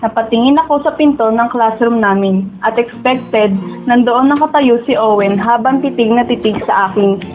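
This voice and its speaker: High, tinny-sounding dreamy teenage schoolgirl played by a woman. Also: moderate pace, lightly acted. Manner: code-switches into English. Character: dreamy, poor, self-doubting high school girl, narrator. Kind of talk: radio drama acting with first-person narration. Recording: tinny, phone-like audio with hiss.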